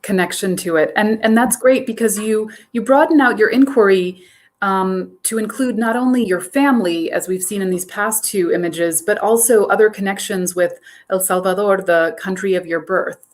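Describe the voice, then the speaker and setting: A woman's voice: medium pitch, moderate pace. Curator host: woman, encouraging, easy questions with a few ums. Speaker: curator and interviewer. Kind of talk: museum talk introduction and interview.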